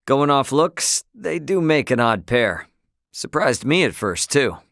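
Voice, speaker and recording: A man's voice: medium pitch, very animated, a little slow, vocal fry, fairly bright tone, dry recording, clean studio sound, full character voice. A male actor playing a brash, good-hearted young spacefaring captain in a video game, with casual, slangy, plain-spoken delivery. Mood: casual, friendly.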